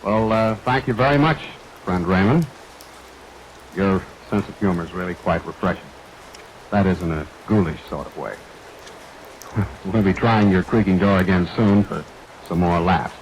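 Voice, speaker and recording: A man's voice: low-pitched, normal speed, lo-fi sound, natural voice. A man playing a genteel, droll mystery show host, speaking formally in vintage radio style, on an old recording. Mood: droll, courteous. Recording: vintage radio recording, faint rain noise.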